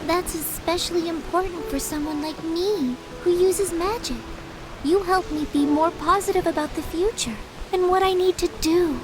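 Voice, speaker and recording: A woman voicing a gentle, sincere young girl in a game cutscene who speaks softly and thoughtfully. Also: very high voice, normal speed, rough recording. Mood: tender. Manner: soft, sincere, reflective. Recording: game audio with clear music behind.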